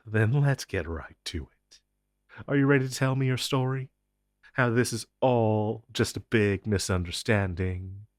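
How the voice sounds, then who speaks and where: A man playing an inhuman monster interrogator in an intense audio roleplay, taunting and condescending, with deliberate theatrical pauses. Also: lowish voice, no room sound, studio quality, close to an everyday voice. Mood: taunting, probing. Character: menacing male monster interrogator, inhuman, smug and possessive.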